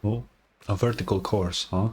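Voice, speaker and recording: A woman's voice: a bass voice, steady tone, normal speed, darkish tone. A woman streamer giving casual, blunt reaction commentary while playing a video game. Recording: game music behind.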